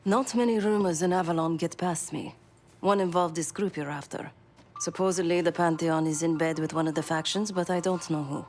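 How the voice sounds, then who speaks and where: An actress playing a hardened, guarded assassin of about thirty in a video game, speaking tersely. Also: female voice, lowish voice, fairly quick, darkish tone, slight background noise, close to an everyday voice. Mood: guarded, matter-of-fact. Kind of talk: dramatic video game dialogue.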